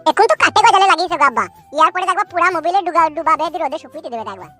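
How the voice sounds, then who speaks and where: A woman's voice: extremely high pitch, expressive, racing, bright, forceful tone, faint music behind, full character voice. A woman voicing a cheeky, aggrieved young village lad in a comic cartoon, chattering bluntly. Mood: cheeky, scolding. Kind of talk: comic cartoon dialogue in rural dialect.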